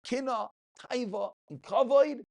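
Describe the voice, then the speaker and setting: A man's voice: very high-pitched, a little slow, gravelly. A man, a rabbi teaching an informal religious class in an emphatic way, posing rhetorical questions and repeating phrases. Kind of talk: informal Talmud and ethics lecture.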